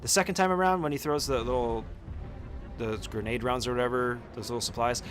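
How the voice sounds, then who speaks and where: A man gives a casual, informal walkthrough of a video game speedrun in a mid-pitched voice. He explains the route step by step like a tutorial, with loose language and some swearing. Soft music plays behind him. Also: fairly quick.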